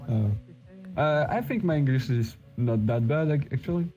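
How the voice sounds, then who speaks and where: A man's voice: medium pitch, animated, normal speed, warm tone, low-quality recording. A man from France with a French accent, a native French speaker, chatting casually in English and often searching for words. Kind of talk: casual chat. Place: online VR voice chat. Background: music.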